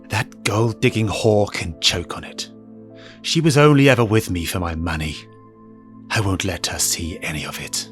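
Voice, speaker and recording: A man's voice: low-pitched, measured pace, pauses often, soft background music. A man playing a bitter, brooding, wealthy fallen superhero with a sneering, curt manner in an audio drama. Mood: cold resentment.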